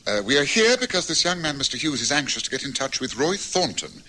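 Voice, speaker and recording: An actor with a British accent playing a shrewd, dry-witted detective in a vintage radio drama, crisp and quietly confident. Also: male voice, on the high side, sing-song, fast, a little hiss or hum. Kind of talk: 1950s-60s radio drama acting.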